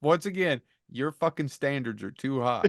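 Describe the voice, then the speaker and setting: A man's voice: mid-range voice, animated, moderate pace, crowd noise. A middle-aged man co-hosting a podcast, chatting casually in a blunt, joking, sweary manner. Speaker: podcast co-host. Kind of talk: casual comedic banter.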